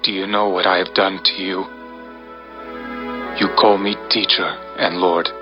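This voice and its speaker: A solemn holy teacher with a lowish voice and a very slow, deliberate delivery. He speaks with quiet authority in an audio Bible drama. Music plays clearly behind him. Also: flat delivery. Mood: solemn teaching. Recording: dull, band-limited audio with music behind.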